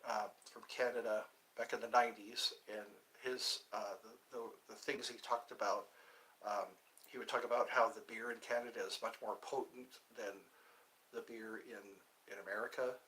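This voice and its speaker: A man's voice: fairly low pitch, fairly even intonation, normal speed, low-quality recording. A middle-aged man keeping his voice down as he chats to viewers on a phone livestream from an indoor room, meandering through stories with lots of fillers. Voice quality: hushed. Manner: talking quietly, keeping voice down. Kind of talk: rambling casual livestream chat. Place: indoor room with thin walls.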